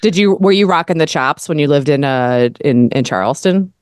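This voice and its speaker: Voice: mid-range voice, animated, smooth, no echo. Laughing podcast host, dry sound. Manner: laughs often, quick joking replies. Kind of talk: casual podcast chat.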